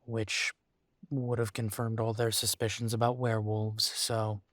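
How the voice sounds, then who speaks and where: A man playing a conflicted, weary werewolf talking to a mate in an intimate roleplay audio drama, halting and exasperated. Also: lowish voice, flat delivery, a bit bright, dry recording, studio quality. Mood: pleading, tender. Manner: exasperated, halting, sighing delivery. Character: weary, conflicted male werewolf arguing tenderly with his mate.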